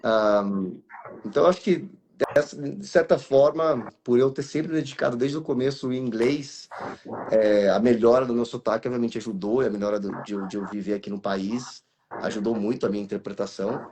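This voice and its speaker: A Brazilian man in his thirties talks through his career in a relaxed, rambling way. His voice sits in the middle of the range. He backs up and rephrases often, and a faint hiss runs underneath. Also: medium pitch, brisk. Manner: rambling, with many restarts and fillers. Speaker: guest actor and voice actor. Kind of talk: casual interview over a live stream.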